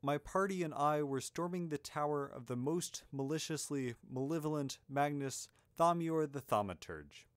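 A man voicing a young adventuring wizard in a fantasy audiobook, earnest, excitable and blithely matter-of-fact. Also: on the high side, moderate pace, hardly any pauses, clean tone, no echo, pitched above the performer's own voice, close to an everyday voice. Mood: blithe storytelling.

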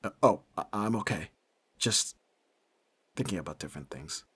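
Guy playing a moody protective vampire boyfriend, low husky voice, asmr roleplay type thing. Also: expressive, normal speed, fairly bright tone, no room sound, full character voice. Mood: tender, easygoing. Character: brooding, protective young male vampire boyfriend, short-tempered with friends. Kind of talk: ASMR audio roleplay acting.

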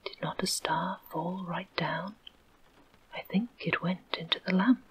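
A woman voicing a proud, gossipy herring's head in a soft whisper. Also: on the low side, fairly even intonation, a little slow, a little hiss or hum, poor audio.

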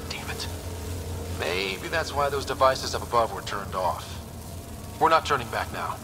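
An actor playing a curious, determined young space explorer in a game, with a casual, decisive manner. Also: male voice, medium pitch, big pitch swings, a little slow, creaky, noisy background. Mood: frustrated, determined. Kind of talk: video game voice acting.

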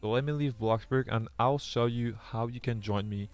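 A man's voice: mid-range voice, moderate pace, faint music behind. A young man from France with a French accent, a native French speaker, chatting with viewers and reading their chat aloud during a live game stream, often restarting his sentences. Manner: reads chat aloud, many restarts, earnest.

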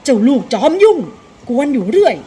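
Woman as storybook father goat, mock-monster bluster, gruff shouting, wide pitch swings, noisy background. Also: sing-song, a little fast. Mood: exasperated bluster. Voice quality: gruff put-on voice.

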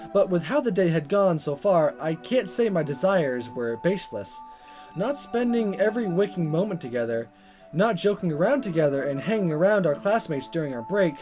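A man reading a visual novel aloud as a let's player, in expressive narration with casual asides and stumbles that he corrects. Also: a little high. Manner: animated, stumbles over words and corrects himself.

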